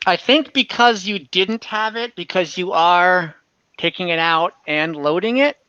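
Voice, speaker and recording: A man's voice: high voice, a little slow, bright, forceful tone, smooth, no room sound, muffled recording. A man working as game master, narrating an online tabletop game casually and thinking aloud in a hesitant, improvised way. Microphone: online call microphone.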